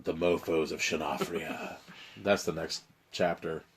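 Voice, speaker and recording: A man with a raspy, strained voice, hosting a live stream where he reads an adventure story aloud and chats with viewers. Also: rumbling low, steady tone, measured pace, a little hiss or hum. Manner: dramatic narration, then loose rambling chat. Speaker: host reading a choose-your-own-adventure book.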